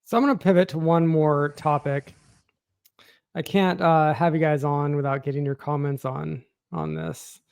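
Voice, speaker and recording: Creaky higher-pitched American man. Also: fairly even intonation, moderate pace, a little mellow. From Washington State, United States. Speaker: podcast host. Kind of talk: casual political chat.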